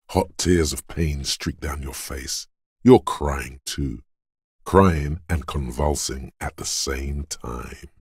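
An actor voicing the brooding inner voice of a detective's body in a video game, gravelly and husky, speaking gravely and deliberately with theatrical weight. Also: male voice, rumbling low, a little mellow, no echo, studio quality, heavily acted. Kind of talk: scripted game narration in second person.

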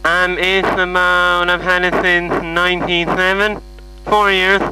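A man's voice: high-pitched, little pitch movement, a little slow, noisy background. A man with an Irish accent voicing a confused, apologetic, hard-of-hearing middle-aged caller, hesitant and repeating himself in a prank phone call. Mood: apologetic, muddled. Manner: muddled, hesitant, repetitive. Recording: humming phone call recording.

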